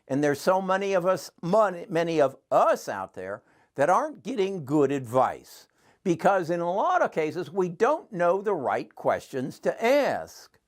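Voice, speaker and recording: A man in his seventies hosting his own channel, talking earnestly and rambling with frequent restarts. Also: fairly high pitch. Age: 70s. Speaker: channel host. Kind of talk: casual talk to camera.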